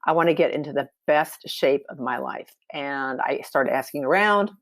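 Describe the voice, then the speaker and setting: A woman's voice: low-pitched, moderate pace, dry recording. Chatty woman in her sixties, dry sound. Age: 60s. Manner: chatty and upbeat, with many fillers and restarts. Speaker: podcast guest. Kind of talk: casual storytelling interview.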